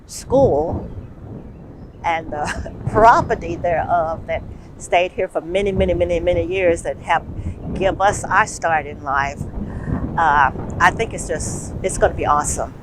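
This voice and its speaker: An older woman gives an informal interview answer. Her voice is fairly low, and wind and outdoor noise are clearly heard around it. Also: a little slow. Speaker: interviewee, former student. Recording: windy, noisy outdoor recording.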